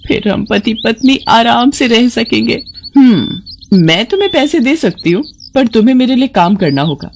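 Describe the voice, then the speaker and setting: A woman's voice: on the high side, very animated, normal speed, a little mellow, slight background noise, pitched above the performer's own voice. A woman voicing a scheming, manipulative sister-in-law in a moral story, theatrically complaining and cajoling. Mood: calculating. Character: scheming, sharp-tongued sister-in-law, sweet-talking and manipulative. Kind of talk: dramatized moral story acting.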